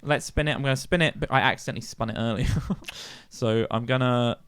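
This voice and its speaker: A man's voice: medium pitch, a little fast, fairly bright tone, clear, resonant tone, dry recording. A man co-hosting a music podcast in casual chat, laughing often and swearing casually. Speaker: podcast co-host.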